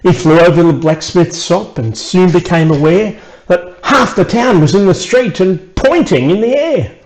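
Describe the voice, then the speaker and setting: A man with an Australian accent narrating a rhymed children's story in an expressive storyteller's way. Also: sits high, moderate pace, poor audio. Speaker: author reading own children's book.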